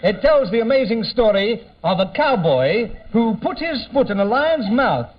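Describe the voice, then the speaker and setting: Exasperated radio compere, high swooping voice. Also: male voice, big pitch swings, moderate pace, heavily acted. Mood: formal, announcing. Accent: Australian. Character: exasperated pompous male theatre-troupe compere. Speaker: announcer and master of ceremonies. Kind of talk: old-time radio comedy acting. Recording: muffled, very noisy old radio recording.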